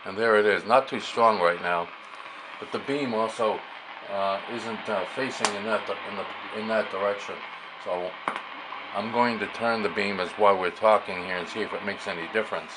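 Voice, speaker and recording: Lowish voiced guy thinking out loud while showing how his radio gear works, casual, pretty noisy background. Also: moderate pace. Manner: explanatory, thinking aloud while working. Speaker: amateur radio hobbyist demonstrating a receiver. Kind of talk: casual unscripted hands-on demonstration. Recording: clear background noise, radio static. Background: shortwave radio stations and static.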